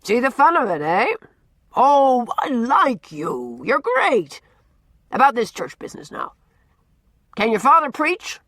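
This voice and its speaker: A woman voicing a blustering, gruff old man who booms out orders and repeats himself as he teases. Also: huge pitch swings, slow, long pauses, a bit bright, no echo, exaggerated voice. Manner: booming, repeats himself, teasing.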